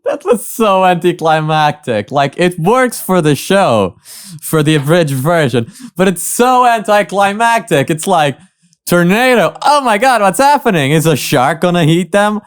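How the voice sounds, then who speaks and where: A man giving casual, opinionated reaction commentary in a halting, rambling way. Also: high-pitched, very animated, smooth, no echo, studio quality. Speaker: reaction video host.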